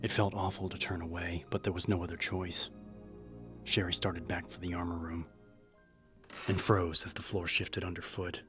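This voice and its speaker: A man narrating a scripted horror audiobook in a measured, serious storytelling manner. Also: deep voice, level tone. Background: music.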